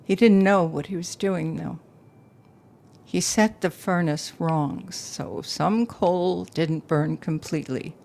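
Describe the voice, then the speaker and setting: A woman playing a low-voiced, grieving businesswoman. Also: fairly even intonation, takes it slowly, vocal fry, darkish tone. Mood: somber, regretful. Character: grieving, self-possessed businesswoman recounting a lost love. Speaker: actor in an audio play. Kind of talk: intimate dramatic monologue, audio play acting.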